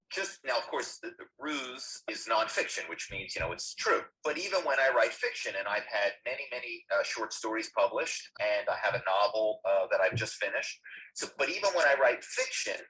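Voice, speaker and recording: A man's voice: medium pitch, bright, forceful tone, a little hiss or hum, rough recording. A man, a writer and actor, answering interview questions in a thoughtful, rambling way.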